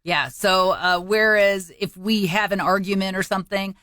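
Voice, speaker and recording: A woman's voice: mid-range voice, steady tone, normal speed, pushed and bright, no room sound, clean studio sound. A woman co-hosting a casual chat podcast, speaking in a frank, emphatic way. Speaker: podcast co-host.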